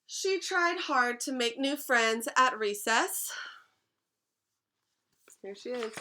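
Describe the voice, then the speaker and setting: Young female teacher, patient read-aloud style, bright and a touch high. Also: expressive, slow pace. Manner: patient, explains words and asks questions. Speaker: teacher reading to class. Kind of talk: read-aloud storytime lesson.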